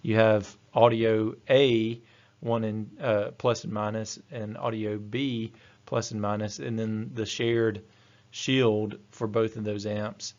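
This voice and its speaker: A man's voice: lowish voice, level tone, takes it slowly, a little mellow, highs cut off. A man giving a matter-of-fact, methodical technical lecture as a training instructor. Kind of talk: slide-based technical lecture.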